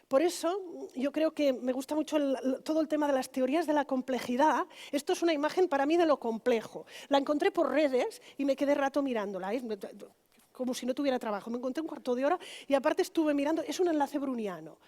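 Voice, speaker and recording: Woman lecturing, higher mellow voice. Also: brisk. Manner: conversational, frequent tag questions to the audience. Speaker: pediatrician giving a conference lecture. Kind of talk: conference closing lecture.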